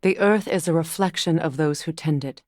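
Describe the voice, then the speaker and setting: Woman acting a ancient goddess, fairly low voice, solemn, resolute, compassionate, formal measured phrasing, video game dialogue, dry studio sound. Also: a little mellow, no echo, close to an everyday voice. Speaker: video game character voice actor.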